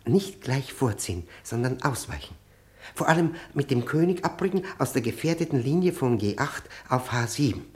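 A man playing a nervous stranger in his forties in a radio drama, an obsessive expert. His mid-pitched voice swings up and down as he gives urgent, precise instructions, sometimes muttering them as if rapt. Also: animated, moderate pace, natural voice. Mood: urgent, absorbed. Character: pale nervous stranger of forty-five, obsessive chess expert. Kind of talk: radio play acting.

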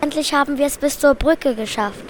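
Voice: sits high, fairly quick, slight background noise, close to an everyday voice. A child of about eight or nine playing a bold, commanding secret agent in an amateur school radio play. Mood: commanding, urgent. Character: bold secret agent with a dog sidekick, leading rescues.

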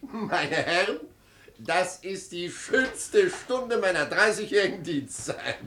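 An actor playing a jovial, excitable middle-aged senior official in a 1950s radio play, effusive and commanding in a theatrical way. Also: male voice, sits high, slow, slight background noise, full character voice. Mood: excited, commanding.

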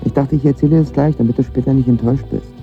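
A man's voice: medium pitch, fairly even intonation, very fast, lightly acted. An actor playing a lovestruck, nervous young man who tells his story in casual, slangy radio drama narration. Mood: eager, self-deprecating. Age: young adult. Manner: casual, slangy, self-deprecating. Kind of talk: first-person radio drama narration with dialogue. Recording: slightly dull audio with music behind.

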